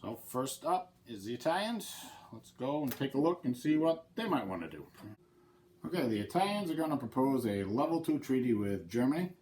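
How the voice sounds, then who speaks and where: A man's voice: medium pitch, big pitch swings, moderate pace, darkish tone, a little hiss or hum. A man with a head cold hosting his channel, talking casually through a board game playthrough and explaining the rules step by step. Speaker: channel host.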